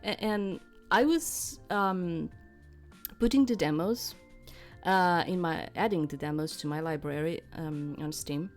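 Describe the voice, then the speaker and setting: Middle-aged female gaming host, low-pitched voice, unhurried rambling chat with hesitant asides, faint music underneath. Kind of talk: casual rambling chat to viewers.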